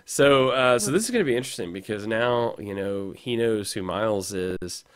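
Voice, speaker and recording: A man with a fairly low voice, slightly hoarse and gruff from losing it, talks casually about comics as a podcast co-host. He rambles through fillers and restarts at an easy pace. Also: animated, normal speed.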